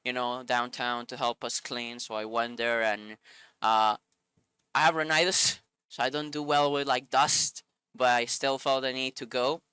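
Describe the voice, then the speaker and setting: A sniffly man with a bright, crisp voice reads scripture and then shares his thoughts in an earnest, loose way, often stopping to find the right words. The sound is close and dry, with no room around it. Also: medium pitch, flat delivery, measured pace, dry recording. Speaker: Bible video host. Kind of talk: scripture reading then casual reflection.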